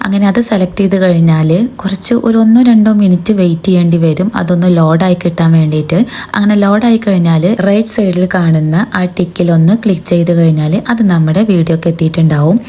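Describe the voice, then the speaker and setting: A woman's voice: lowish voice, quick pace, dark tone. A woman, hosting a tutorial and narrating it step by step. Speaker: video tutorial host.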